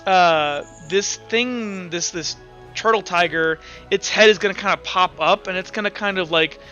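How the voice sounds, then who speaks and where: High, bright-voiced man running a game. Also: moderate pace, dull audio. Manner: casual, informal, sound-effect-laden descriptions. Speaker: game master. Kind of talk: narrating a tabletop roleplaying game. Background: music.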